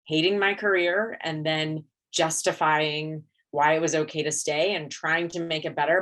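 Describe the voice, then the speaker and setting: Candid, low-voiced woman dentist speaking. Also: a bit bright, low-quality recording. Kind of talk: conference talk.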